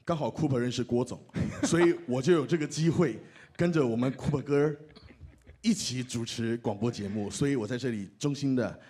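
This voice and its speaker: A man's voice: medium pitch, normal speed, mellow. A man with a Taiwanese accent presenting awards on stage, joking as he announces the nominees. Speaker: awards presenter and radio host.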